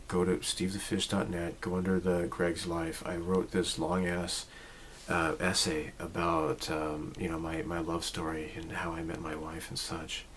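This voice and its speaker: A man in his forties speaks to camera in a relaxed, rambling way, circling back and restarting his thoughts. His voice sits low and he takes his time. A faint background hum sits under him. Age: 40s. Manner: rambling, reflective, many fillers and restarts. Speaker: channel host. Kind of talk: casual personal vlog talk.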